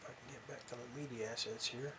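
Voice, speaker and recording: A man hosting a podcast, talking casually and haltingly to his viewers, rambling along with pauses and repeats. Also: medium pitch, fairly even intonation, pushed and bright. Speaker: podcast host. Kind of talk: casual rambling talk to viewers about tournament fishing.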